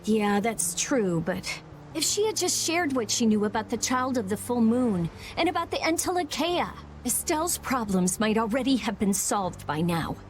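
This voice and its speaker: A woman playing a sharp-tongued, hot-tempered, brainy teenage girl mage in a video game, blunt and testy. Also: a little high, lively intonation, normal speed, a little hiss or hum, close to an everyday voice. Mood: resentful.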